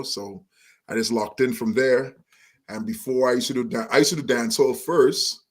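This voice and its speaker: A man from Trinidad and Tobago, a guest musician talking in a friendly, relaxed way about his start in music. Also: fairly low pitch. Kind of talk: casual interview talk.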